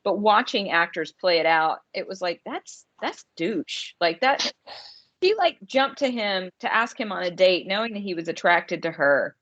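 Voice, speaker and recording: Low-voiced woman, casual podcast chat. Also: big pitch swings, moderate pace, a bit bright. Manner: informal, slangy, thinking aloud. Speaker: podcast co-host reviewing a film. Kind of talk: casual conversational discussion. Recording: slightly dull, lo-fi podcast audio.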